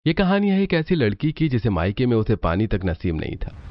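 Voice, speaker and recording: A man narrating a scripted family tale as a storyteller, with expressive delivery. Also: medium pitch, fairly quick, dry recording, highs cut off.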